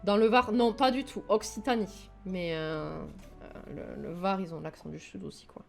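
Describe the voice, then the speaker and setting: A woman's voice: medium pitch, moderate pace, gravelly, faint music behind. A young woman from Toulouse with a southern French accent, chatting casually and enthusiastically with her stream viewers, with plenty of fillers. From southern France, Toulouse. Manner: chatty, enthusiastic, many fillers, talks to chat. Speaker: livestream host.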